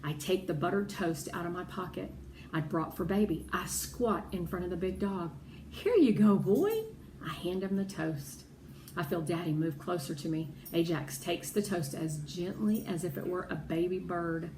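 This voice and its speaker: A woman reading a children's story aloud with expressive narration. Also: fairly low pitch, moderate pace. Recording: roomy echo with clear background noise.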